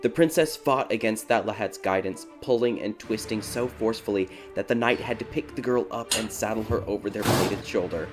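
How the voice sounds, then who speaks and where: A man reading a scripted dark fairy tale as a dramatic audiobook narrator. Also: lowish voice, a little fast, hardly any pauses. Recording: background music behind the voice.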